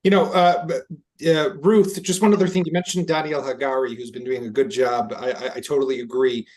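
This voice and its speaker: A man hosting an interview, talking in a brisk, conversational and agreeable way. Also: mid-range voice, steady tone.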